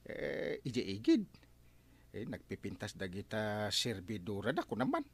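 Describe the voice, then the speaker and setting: Wildly animated Ilocano village captain. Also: male voice, on the low side, moderate pace, husky, heavily acted. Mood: gleeful, boisterous. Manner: exclamatory, stammering when flustered. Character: flirty, fun-loving village captain, married man. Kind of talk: broad Filipino radio comedy drama acting.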